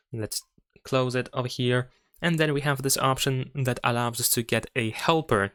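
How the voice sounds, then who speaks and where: A man's voice: medium pitch, fairly bright tone, no room sound, clean studio sound. A man narrating a step-by-step tech tutorial in a methodical, explanatory manner.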